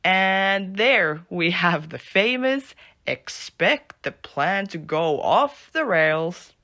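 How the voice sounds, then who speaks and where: A woman's voice: on the low side, lively intonation, drawn-out words, sharp tone, no echo, clean studio sound, full character voice. A woman playing a chatty, playful fangirl in an audio drama, polite and teasing. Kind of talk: amateur audio drama acting.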